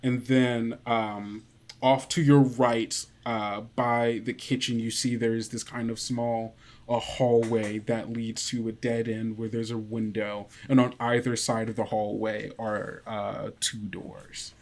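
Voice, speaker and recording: Male game master, mid-pitched, improvised scene descriptions with halting, thinking-aloud phrasing. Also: little pitch movement. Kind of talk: improvised tabletop game narration.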